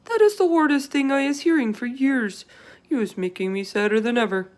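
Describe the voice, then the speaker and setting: A woman voicing a gentle, emotional storybook giant who talks in jumbled grammar and silly invented words. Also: high-pitched, brisk, voice pushed up, lightly acted. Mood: upset. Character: gentle, tearful, kindly giant with jumbled childlike speech.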